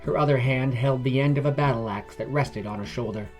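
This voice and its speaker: A man's voice: medium pitch, fairly quick, faint music behind. A man reading scripted fantasy narration as a storyteller.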